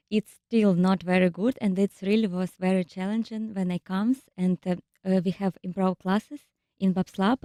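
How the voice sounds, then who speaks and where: A woman from Ukraine speaking English with a Ukrainian accent, answering carefully and haltingly with many fillers. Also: medium pitch, dark tone, no room sound. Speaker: visual artist and storyteller. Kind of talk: interview answers. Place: radio studio.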